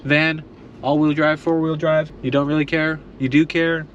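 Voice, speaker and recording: A man's voice: fairly high pitch, little pitch movement, moderate pace, fairly bright tone, highs cut off, a little hiss or hum. A man talking casually to viewers as a family vlogger, in an informal, chatty way. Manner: informal, addresses viewers as guys. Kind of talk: casual vlog chat to viewers.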